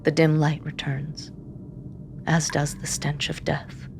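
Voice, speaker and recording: An actress playing a grim, haunted seer who reads the dead, narrating in the first person with hushed, deliberate intensity. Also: female voice, low voice, flat delivery, gravelly, over music, heavily acted. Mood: somber, wistful. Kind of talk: first-person dramatic narration in audio drama.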